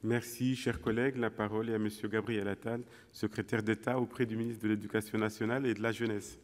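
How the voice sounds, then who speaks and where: A man with a lowish, very even voice chairs a parliamentary sitting. He makes formal procedural announcements, and the hall adds a long echo. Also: quick pace. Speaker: session chair. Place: large parliamentary chamber. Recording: echoey reverberant chamber.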